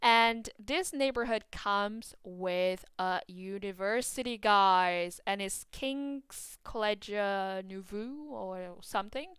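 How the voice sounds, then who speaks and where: A woman with a Portuguese accent talks casually and excitedly about a game, full of fillers and little restarts. Her voice is exceptionally crisp and clean, with a dry, close sound and no room around it. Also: medium pitch, takes it slowly, hardly any pauses, dry recording. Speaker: gaming YouTuber.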